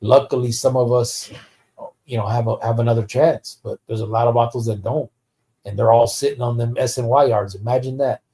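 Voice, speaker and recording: A man's voice: mid-range voice, fairly even intonation, a little fast, dark tone. Male live podcast host from the United States, streetwise and blunt, casual and profane, with slang mixed in. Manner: slips Spanish slang into English. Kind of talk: live stream casual chat, reading out viewer comments.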